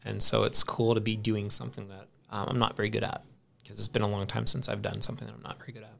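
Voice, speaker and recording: A man's voice: on the low side, level tone, quick pace, creaky, pushed and bright. A man in his thirties chatting casually in an anecdotal, self-deprecating way. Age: 30s. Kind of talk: casual chat.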